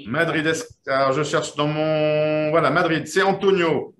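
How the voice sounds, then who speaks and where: Guy hosting a quiz awards thing, slightly high voice, lots of ums and restarts, roomy echo. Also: steady tone, measured pace, a bit bright. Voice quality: hesitant, restarting, many fillers. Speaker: host of an online quiz final. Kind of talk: live online award announcement and interview. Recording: echoey room.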